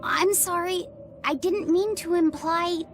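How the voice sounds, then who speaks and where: A curious young boy in a video game, high-pitched and polite. The delivery is gentle and earnest, with soft music behind. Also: steady tone, takes it slowly, heavily acted. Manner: earnest, questioning. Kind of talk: English video game voice acting.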